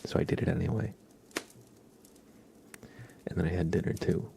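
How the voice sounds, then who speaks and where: A man hosting an ASMR livestream, chatting softly and gently and often slipping into whispers. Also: deep voice, fairly even intonation, normal speed, gravelly, slight background noise. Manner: gentle, hushed, drifting into whispers. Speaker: livestream host.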